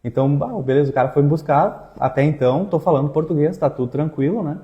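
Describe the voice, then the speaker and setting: A man's voice: on the high side, fast talker, muffled recording. A man with a southern Brazilian accent in casual conversation, telling personal stories with slang and frequent tag questions. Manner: colloquial, uses slang and frequent tags. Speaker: exchange agency staff member co-hosting a talk.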